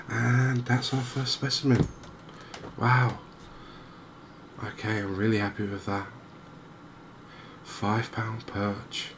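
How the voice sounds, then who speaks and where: A soft-spoken man with an English accent giving unhurried, hesitant ASMR commentary over a video game. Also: lowish voice, noisy background. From England. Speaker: gaming video host.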